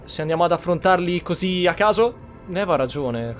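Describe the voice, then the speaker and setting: Reflective Italian gamer talking over music. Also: male voice, high-pitched, expressive, fairly quick. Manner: reflective, thinking aloud. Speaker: gamer, channel host. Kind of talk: casual let's play commentary and roleplay.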